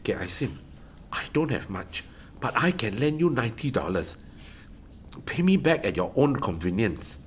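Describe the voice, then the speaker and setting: A man acting in a radio drama as a gruff, loyal, combative former night soil collector, with a Singaporean accent and blunt, scolding speech. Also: mid-range voice, sing-song, normal speed, a little hiss or hum, natural voice. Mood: gentle. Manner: blunt, scolding, Singlish particles.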